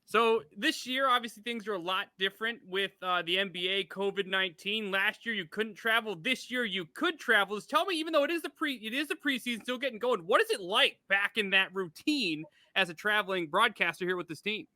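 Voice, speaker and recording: A man's voice: high-pitched, fairly quick, hardly any pauses, sharp tone, no room sound. A man hosting a sports interview, putting questions directly to his guest in a presenter's manner. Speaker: sports show host, interviewer.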